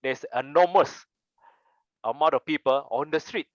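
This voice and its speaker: A man's voice: fairly high pitch, normal speed, no room sound, dull audio. A young man with a Malaysian English accent, giving an earnest prepared speech with personal stories and rhetorical questions. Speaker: club member giving a speech.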